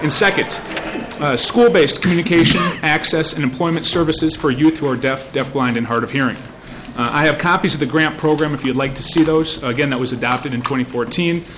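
A man's voice: on the high side, brisk, noisy background. A man, a state senator, formally presenting a bill to a committee with frequent "uh" fillers. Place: legislative hearing room.

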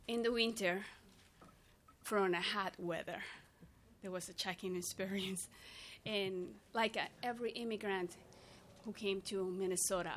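Peruvian woman telling a committee her story, spanish accent, faint room hum. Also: mid-range voice. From Peru. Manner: earnest, non-native phrasing. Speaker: outreach worker testifying. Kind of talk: committee testimony.

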